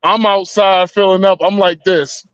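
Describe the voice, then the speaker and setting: Man, 30s, podcast co-host: high, profane, rambling, slightly muffled dry sound. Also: fairly even intonation, a little slow, dry recording. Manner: rambling, profane, stops and restarts a lot. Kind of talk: heated casual debate talk.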